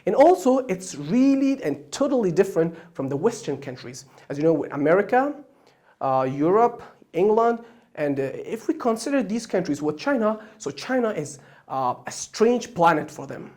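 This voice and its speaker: A host, a Persian and Pashto speaker, talks through the plan for a language course without a script. The speech is full of ums, uhs and false starts. The voice sits at a middling pitch and leaps upward on words being stressed. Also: very animated, moderate pace, a little mellow. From Afghanistan. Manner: hesitant, many fillers and restarts, pitch jumping up on key words. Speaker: online Chinese course host. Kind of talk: unscripted explanation of a course plan.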